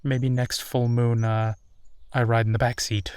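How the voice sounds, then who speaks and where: A man playing an easygoing, playful werewolf boyfriend in a roleplay audio, talking casually and haltingly with a self-deprecating streak. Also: medium pitch, level tone, darkish tone, clear, resonant tone, dry recording, clean studio sound, lightly acted. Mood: casual, chatty. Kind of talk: intimate boyfriend roleplay audio, improvised-sounding one-sided conversation.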